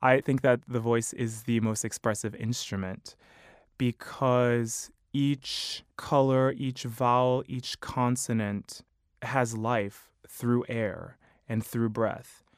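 A man in his thirties, a choral conductor with a high baritone voice, giving measured, thoughtful interview answers. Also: little pitch movement, clear, resonant tone, no echo, clean studio sound. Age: 30s. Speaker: choral conductor and music director.

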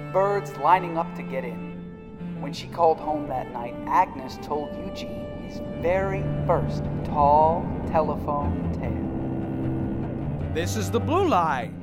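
An actor narrating a play excerpt and voicing a playful old granny, over music. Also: male voice, on the high side, lively intonation, slow pace. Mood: storytelling. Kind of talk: recorded stage performance.

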